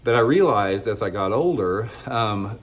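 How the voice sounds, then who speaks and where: A man's voice: fairly low pitch, expressive, unhurried. Congested middle-aged man ranting. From United States. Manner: rambling, blunt, restarts often. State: congested from a cold. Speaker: fishing channel host. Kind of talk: casual opinion monologue to camera.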